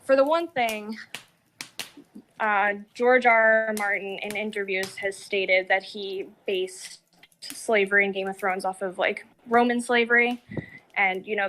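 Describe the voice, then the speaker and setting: A woman with a bright, forceful voice at a middle pitch co-hosts a casual critical discussion. She talks emphatically, with filler words throughout. The recording carries faint noise and clicks.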